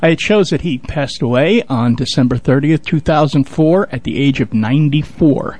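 A man's voice: mid-range voice, expressive, normal speed, darkish tone. Plain-spoken man co-hosting radio. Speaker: radio co-host. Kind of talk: casual informative radio chat.

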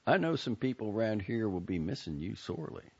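A lecherous middle-aged pickup driver with an oily, wheedling way of talking, as a man puts him on. The voice is gravelly and husky. It sits on a dull, lo-fi audiobook recording. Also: lowish voice, sing-song, fairly quick, darkish tone, pitched above the performer's own voice, exaggerated voice.

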